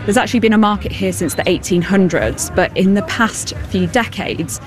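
A woman's voice: mid-range voice, moderate pace, music in the background. A woman working as a field reporter, talking to people on a city street.

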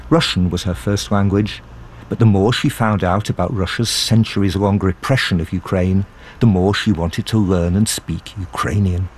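A man with a very low voice narrates a radio news report in a measured, scripted way, breaking off now and then to put short questions to the people he meets. A faint outdoor hum sits behind him. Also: steady tone, darkish tone.

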